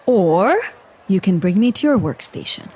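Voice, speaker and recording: A stern female agent in a comic radio play. Her husky voice is mid-pitched and moves in animated turns, with a dry, commanding manner. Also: normal speed, faint music behind. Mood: threatening. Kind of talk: comedic audio drama acting.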